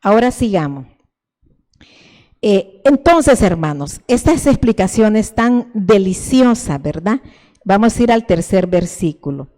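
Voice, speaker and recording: A woman's voice: mid-range voice, lively intonation, measured pace, warm tone. An older woman from El Salvador with a Salvadoran Spanish accent, teaching a church sermon in a fervent, repetitive way, often restarting her words. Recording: long reverb in a church hall.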